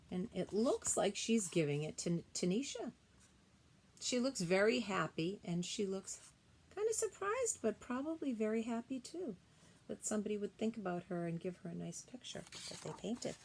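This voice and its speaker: A woman's voice: medium pitch, animated, moderate pace, slightly rough. A woman reading a picture book aloud to young children, explaining the illustrations in simple, informal phrases.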